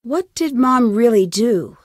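Young American woman sleuth for a game: inquisitive, determined, earnest, slightly high pitch, very dry sound. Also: animated, slow pace, darkish tone, no room sound. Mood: questioning, earnest. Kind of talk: video game voice acting, isolated dialogue lines.

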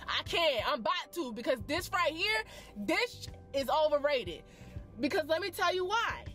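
A young woman talks into a dashboard camera from her parked car, spinning a dramatic storytime with slang and quick asides. Her fairly high voice swoops up and down with lots of expression. Faint music plays under her voice. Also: a bit bright. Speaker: vlogger. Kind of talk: animated storytime chat.

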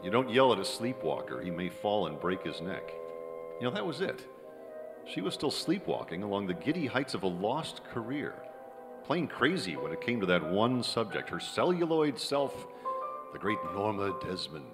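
A man's voice: fairly low pitch, very animated, normal speed. A middle-aged American man playing a cynical young screenwriter who narrates in a wry, old-time radio drama style. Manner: wry, hard-boiled. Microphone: vintage-style stand microphone. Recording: reverberant theater hall with music.

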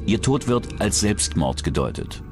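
A man's voice: on the low side, very animated, measured pace, over music. A man reading scripted true-crime documentary narration as the narrator.

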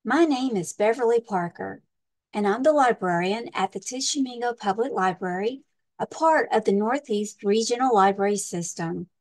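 A woman librarian reading a children's storybook aloud with expressive, deliberate, emphatic narration. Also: mid-range voice.

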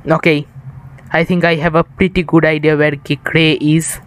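A man's voice: on the high side, level tone, normal speed. A young man from India chatting casually with viewers while streaming a game, rambling and tired, on an improvised setup. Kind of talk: casual live-stream chat while gaming.

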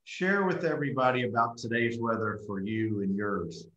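A man's voice: fairly low pitch, expressive, normal speed, a bit bright, roomy sound, highs cut off. Man hosting over a video call. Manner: friendly, repeats words while starting phrases. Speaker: host. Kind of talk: casual video call interview. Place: home room with school mascot wall.

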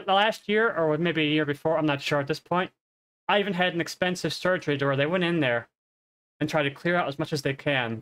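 A man's voice: on the high side, fairly bright tone. A man in his thirties giving a rambling, casual update on a headset, his voice nasal and congested. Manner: rambling, self-correcting. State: congested, coughing. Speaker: streamer. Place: home streaming room.

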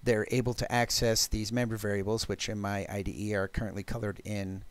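A man presenting a technical programming demo, explaining step by step in a halting, thinking-aloud way. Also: on the low side, level tone, clean tone. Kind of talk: technical talk with live code demo.

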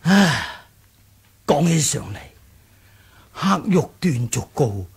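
Elderly, kindly kung fu patriarch, Hong Kong Cantonese radio drama. Very raspy, unhurried, sighing. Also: male voice, on the high side, big pitch swings, full character voice. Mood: relieved, wistful. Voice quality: husky, gravelly old voice. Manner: slow, sighing. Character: aged, kindly martial arts grandmaster.

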